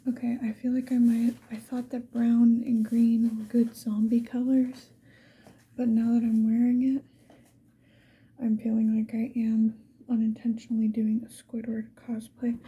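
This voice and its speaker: A woman whispering breathily in a casual, unhurried ASMR get-ready-with-me chat, with self-deprecating murmured asides. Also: on the high side, warm tone. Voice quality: breathy whisper, mostly unvoiced. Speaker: ASMR video creator. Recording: dull, muffled sound with faint noise.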